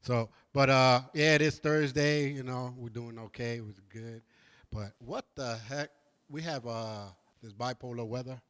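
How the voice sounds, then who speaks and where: A man's voice: medium pitch, unhurried, fairly bright tone, clear, resonant tone. A man from New York hosting a casual talk show, chatting loosely, laughing often and repeating words as he goes. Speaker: host. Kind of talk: casual chat. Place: studio.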